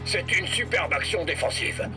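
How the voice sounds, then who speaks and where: Eager, high-pitched cartoon football star. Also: male voice, big pitch swings, normal speed, loud music behind the voice. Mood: urgent, competitive. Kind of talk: animated series French dub acting.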